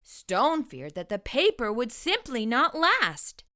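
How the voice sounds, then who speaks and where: A woman's voice: a little high, huge pitch swings, takes it slowly, bright, forceful tone. A woman narrating a children's storybook expressively, enunciating for young listeners as a storytime host.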